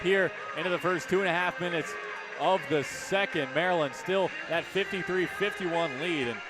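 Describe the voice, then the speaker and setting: A man doing live radio basketball play-by-play, projecting excited, rapid-fire calls. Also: high-pitched. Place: courtside in a basketball arena. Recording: loud arena crowd noise behind. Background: crowd, bouncing ball.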